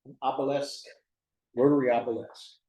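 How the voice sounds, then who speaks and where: A man's voice: medium pitch, fairly even intonation, normal speed, mellow. A man leading a club meeting, easygoing and joking. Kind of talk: casual meeting emcee. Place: meeting room. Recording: echoey meeting room.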